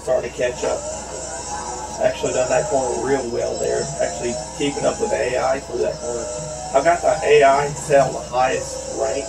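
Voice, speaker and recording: A man's voice: mid-range voice, little pitch movement, moderate pace, creaky, over music. Scratchy-voiced American man gaming. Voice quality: slightly scratchy. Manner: folksy, colloquial. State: scratchy voice from shouting and allergies. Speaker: gaming channel host. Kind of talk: casual racing game commentary.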